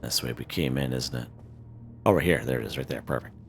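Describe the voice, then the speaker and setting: A man with a hoarse, worn voice, casually talking viewers through a game, full of fillers and "okay?" check-ins. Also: a bass voice, quick pace. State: hoarse, losing his voice. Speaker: gaming channel host. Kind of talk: casual gameplay walkthrough commentary.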